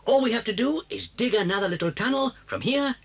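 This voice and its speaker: High-pitched, swooping clever father fox. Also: brisk, vocal fry, heavily acted. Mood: confident, brisk. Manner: animated, emphatic, theatrical. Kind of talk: dramatised children's storybook tape.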